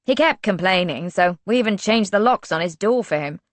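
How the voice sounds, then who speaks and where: A woman's voice: mid-range voice, animated, a little fast, no room sound, close to an everyday voice. Brief: defensive, gossipy female administrator, read by the narrator, wry, dry sound.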